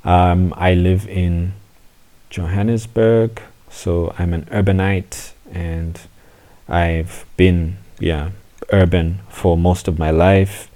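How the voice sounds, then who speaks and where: Man in his thirties with a deep, mellow voice. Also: steady tone, slow pace. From South Africa. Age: 30s. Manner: reflective, with frequent restarts and hesitations. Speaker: podcast host. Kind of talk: solo podcast monologue.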